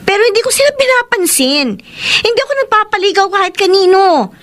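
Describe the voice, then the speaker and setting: A woman's voice: very high-pitched, animated, fairly quick, fairly bright tone. A woman playing a stubborn young woman in love in a Filipino radio drama, emotional and protesting, with a Filipino accent. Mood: indignant, pleading.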